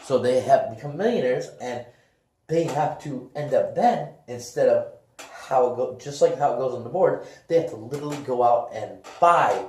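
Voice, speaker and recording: A man's voice: medium pitch, animated, normal speed. Man chatting casually in an echoey room. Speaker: co-host of a video talk show. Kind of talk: casual chat, pitching a movie idea. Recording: roomy sound, faint noise.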